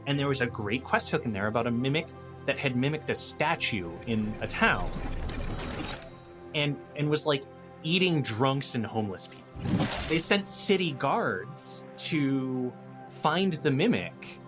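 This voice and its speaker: A man talks casually as a podcast guest, his pitch moving in lively swings around an average register. He restarts his sentences as he works through ideas, with faint music underneath. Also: measured pace.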